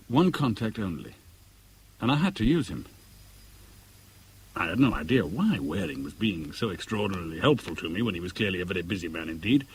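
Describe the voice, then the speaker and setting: A man's voice: lowish voice, a little hiss or hum, poor audio. An actor playing a determined, lovelorn man in a 1970s radio drama, articulate, literary and earnest. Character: determined, lovelorn man searching Hong Kong for missing woman. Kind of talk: 1970s British radio drama, first-person narration and dialogue. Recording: radio drama with music or club crowd behind.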